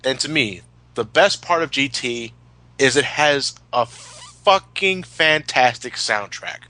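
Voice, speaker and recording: A man's voice: medium pitch, expressive, slow pace, sharp tone. A man chatting casually as a podcast co-host, opinionated and emphatic, with plenty of swearing. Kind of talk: casual chat, retrospective commentary.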